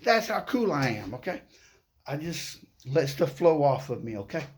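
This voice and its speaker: A man's voice: fairly high pitch, sing-song, normal speed. A middle-aged man who stutters, talking to the camera in a playful, upbeat way and often adding "okay?". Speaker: vlogger. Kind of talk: casual motivational talk to camera.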